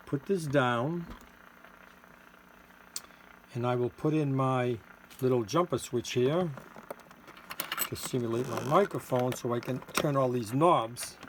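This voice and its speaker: A man giving a casual hands-on product demonstration as a seller, halting and thinking aloud while he works the controls. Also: mid-range voice. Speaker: seller demonstrating a homemade electronics gadget. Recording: clearly noisy, with electronic tweets and siren-like tones.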